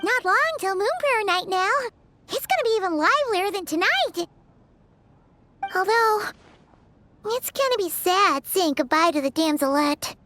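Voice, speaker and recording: Game sidekick: tiny cheeky fairy, extremely high, squeaky, swooping childlike delivery, loud music behind. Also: female voice, lively intonation, unhurried, pauses often, no echo, heavily acted. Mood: chatty, wistful. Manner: squeaky, refers to herself in third person. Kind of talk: video game cartoonish voice acting.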